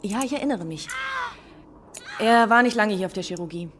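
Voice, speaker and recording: A woman playing a brisk, guarded hospital nurse in a German radio drama, with a businesslike, reserved manner. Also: medium pitch, natural voice. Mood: matter-of-fact. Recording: long reverb, loud background noise.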